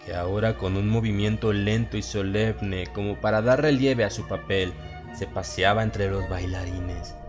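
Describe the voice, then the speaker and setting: A man with a low voice narrates a scripted horror tale. His delivery is solemn and theatrical, with a Latin American Spanish accent. Music plays behind him. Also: darkish tone. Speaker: audiobook narrator. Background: background music.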